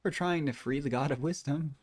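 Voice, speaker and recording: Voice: mid-range voice, a little fast, a little mellow. A game streamer giving casual live commentary, musing aloud and trailing off mid-thought. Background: game music.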